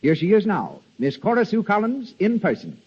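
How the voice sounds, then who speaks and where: A man's voice: sits high, wildly swooping pitch, moderate pace, slight background noise. A man working as a radio announcer and interviewer, in scripted 1930s advertising style. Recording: dull, reverberant 1930s radio recording.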